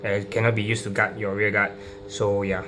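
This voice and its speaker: A man informally explaining a card deck, halting and hesitant, often repeating his phrases. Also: lowish voice, flat delivery. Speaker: trading card deck profile presenter. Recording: clearly noisy recording with hum.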